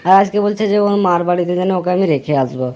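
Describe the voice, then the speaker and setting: A woman's voice: on the low side, racing, dark tone, natural voice. A woman voicing a young husband in a dubbed cartoon, sounding impatient and pleading over music. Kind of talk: cartoon dubbing. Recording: clear music behind.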